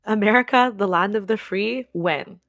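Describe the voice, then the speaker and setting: A woman's voice: mid-range voice, normal speed, fairly bright tone, no room sound, clean studio sound. A woman from Canada chatting casually on a podcast, laughing often and swearing bluntly. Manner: giggly, blunt, swears freely. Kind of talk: casual chat.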